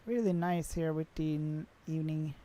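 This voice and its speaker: A man's voice: fairly high pitch, normal speed, mellow. A young man with a Swedish accent, chatting casually on a flight sim livestream and reading out viewer comments, with many fillers. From Sweden.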